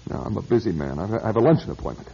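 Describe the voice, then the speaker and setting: A man's voice: lowish voice, expressive, very fast, gravelly, mellow, husky, full character voice. An actor playing an impatient, haughty businessman and heir in a classic radio drama, curt and self-important. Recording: dull lo-fi radio recording.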